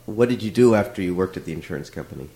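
Male interviewer, deep voice, fast talker, short questions. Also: animated, mellow. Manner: brief backchannel remarks. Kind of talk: casual interview.

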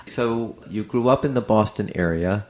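A man hosting a community radio program, introducing the show and asking questions in a steady, measured way. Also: on the low side. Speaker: radio host and interviewer.

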